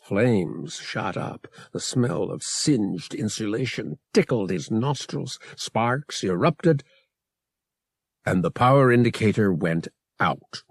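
A man's voice: fairly low pitch, animated, slow, vocal fry, breathy edge, no room sound, clean studio sound. A man reading an adventure novel aloud as dramatic scripted narration. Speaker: audiobook reader.

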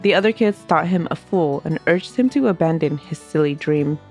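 A woman's voice: sits low, normal speed, faint music behind. A woman hosting a history podcast, reading a scripted story aloud while stuffy from a cold. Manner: steady storytelling narration. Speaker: podcast host.

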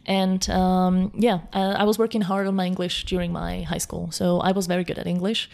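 A woman's voice: on the low side, flat delivery, moderate pace, creaky, a little mellow. A young woman from Slovakia with a Slovak accent, a guest talking eagerly about learning languages. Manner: eager, thoughtful, frequent restarts. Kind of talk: casual conversation.

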